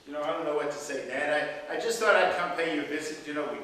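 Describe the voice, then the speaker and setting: Man acting high-voiced skeptical older son, very echoey. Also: quick pace, bright, forceful tone. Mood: needling, exasperated. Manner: incredulous, needling, hesitant repetitions. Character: skeptical, exasperated older married son of aged father. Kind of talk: stage play dialogue. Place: theatre stage, distant microphone. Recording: echoey stage recording from far off, faint noise.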